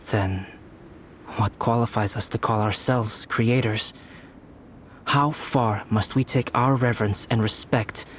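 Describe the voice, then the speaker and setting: An actor voicing a composed, thoughtful young male alchemist in a game, speaking in a calm, measured, soft-spoken way. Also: mid-range voice, slight background noise, lightly acted.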